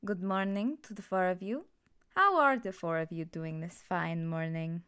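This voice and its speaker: A woman voicing a stern, authoritative professor of elemental magic in a measured ASMR fantasy roleplay. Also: on the low side, fairly bright tone, smooth, natural voice. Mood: composed. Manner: strict, measured, commanding.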